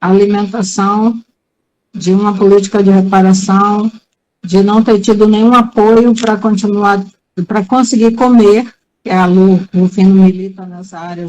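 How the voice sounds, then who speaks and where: A woman's voice: medium pitch, warm tone, lo-fi sound. Emphatic middle-aged woman from Bahia. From Bahia, Brazil. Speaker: teacher, writer, guest. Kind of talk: casual talk-show chat.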